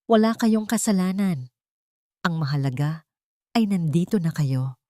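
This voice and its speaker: A woman plays a frail old mother in a dramatic audio story. She is tender and forgiving, speaking in a gentle, consoling way, recorded close and dry. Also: fairly low pitch, lively intonation, measured pace, no room sound.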